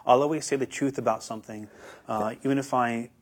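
A man's voice: medium pitch, steady tone, brisk. A young man giving earnest, hesitant interview answers as a guest, often repeating words as he starts. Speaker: guest, YouTuber.

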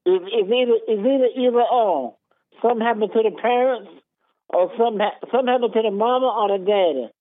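Insistent caller on a tinny phone line. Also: male voice, high voice, fast talker, no room sound. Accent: Southern US. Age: 50s. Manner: insistent, argumentative, repeats himself. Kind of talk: call-in radio talk.

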